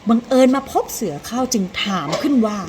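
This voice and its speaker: A woman narrating a children's tale as a storyteller, in an expressive, deliberate, dramatic scripted style. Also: on the high side, slight background noise.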